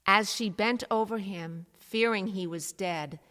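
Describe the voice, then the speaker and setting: A woman's voice: medium pitch, a little slow. A woman reading scripted dramatic narration as the narrator of a story. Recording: loud music behind the voice.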